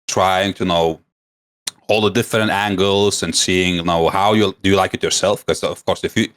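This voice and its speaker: A man's voice: deep voice, fairly even intonation, normal speed, fairly bright tone. A man with a mixed Lithuanian English accent, chatting casually as a podcast guest and rambling with fillers. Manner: rambling, many fillers and restarts. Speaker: guest, streamer.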